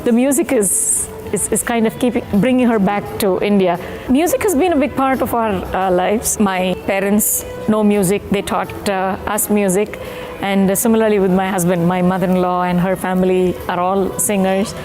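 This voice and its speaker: A woman's voice: medium pitch, moderate pace, darkish tone. Reflective middle-aged mother. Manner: reflective, hesitant with fillers. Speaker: mother of a singer. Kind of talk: documentary interview. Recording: music bed under the voice.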